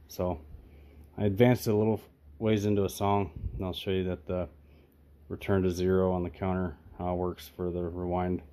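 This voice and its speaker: A man's voice: low-pitched, dark tone. A man giving a casual, off-the-cuff product demonstration of audio gear, speaking from behind a handheld camera in a room.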